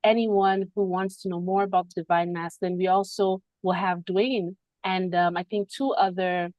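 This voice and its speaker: A woman with a fairly low voice hosts a spiritual podcast over a video call. She talks in a reflective, unhurried way and often laughs between thoughts. The sound is dry and close. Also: fairly even intonation, no echo, muffled recording. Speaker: podcast host.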